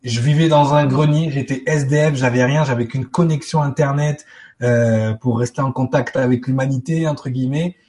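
A man's voice: mid-range voice, fairly even intonation, moderate pace, darkish tone. A man speaking informally as a guest on a live spiritual web show, acting out little exchanges as he explains. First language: French.

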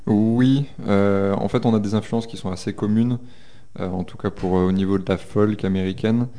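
Very deep-voiced male musician. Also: fairly even intonation, normal speed, warm tone. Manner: earnest, repeats words while explaining. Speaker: musician in a folk duo. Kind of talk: casual radio interview.

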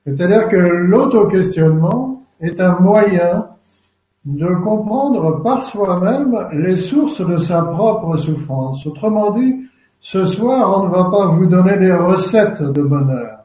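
A man speaking as a guest, giving a measured explanation. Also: high-pitched, expressive, warm tone. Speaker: guest, self-questioning workshop leader. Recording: roomy echo.

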